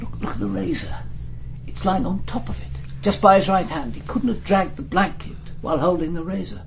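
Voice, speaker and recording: An actor playing a senior police detective who narrates his case in a measured, deliberate way in a crime radio drama. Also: high-pitched, expressive, soft background music. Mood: probing. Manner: measured, deliberate, literary delivery.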